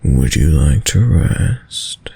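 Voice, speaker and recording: A man playing a sadistic, dominant vampire in an intimate audio roleplay, speaking in a commanding, silkily menacing and condescendingly tender way, deep and breathy. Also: big pitch swings, mellow, no room sound, exaggerated voice. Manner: slow, commanding, condescendingly tender, often dropping to whispers. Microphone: close, dry studio mic.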